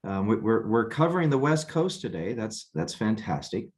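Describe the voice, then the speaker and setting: Low-voiced male call host, easygoing and halting. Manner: relaxed, halting, repeats words. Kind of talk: hosting a weekly video call. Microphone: video call.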